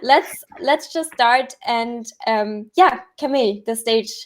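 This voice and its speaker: A woman's voice: fairly high pitch, slow pace. A woman with a German accent hosting a livestream over a video call, enthusiastic and chatty, often repeating words and laughing. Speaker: livestream host.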